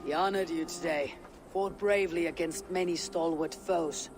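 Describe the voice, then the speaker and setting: A performer playing a calm, measured Viking clan leader in a video game cutscene. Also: mid-range voice, over music, natural voice. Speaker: game protagonist.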